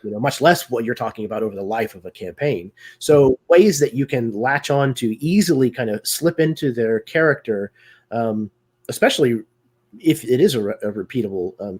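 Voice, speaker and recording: A man guests on a roleplaying-game discussion over a video call. His voice is husky and a little raspy, and he talks things through thoughtfully with frequent pauses and fillers. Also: medium pitch, lively intonation, a little mellow. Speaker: guest performer. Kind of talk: casual discussion of roleplaying games.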